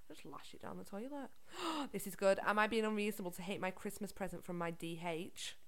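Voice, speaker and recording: A woman's voice: medium pitch, fairly quick. A woman from Liverpool co-hosting a casual chat podcast, telling stories and swearing freely. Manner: chatty, swears freely, lively storytelling. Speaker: podcast co-host.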